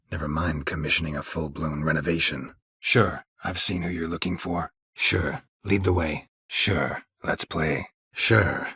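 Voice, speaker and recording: A man voicing a gruff, weary monster hunter in a fantasy video game, with a husky rasp and terse, deadpan, sardonic sentences. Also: low-pitched, dry recording, full character voice. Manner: terse, clipped, deadpan sentences with dry sarcasm.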